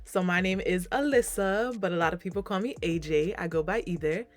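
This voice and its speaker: Upbeat young woman hosting, lower voice. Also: fairly quick, faint music behind. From Queens, New York. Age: 20s. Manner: upbeat, playful, leads the talk. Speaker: podcast host. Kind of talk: casual group podcast chat.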